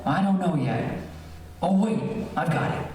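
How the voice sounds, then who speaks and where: A man's voice: on the high side, a little slow, a little mellow, close to an everyday voice. Man playing blustering radio dad, very echoey. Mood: pompous, scheming. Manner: pompous, flustered. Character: blustering, boastful, cowardly middle-aged father. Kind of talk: old-time radio comedy acting. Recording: echoey hall from far off, faint hiss.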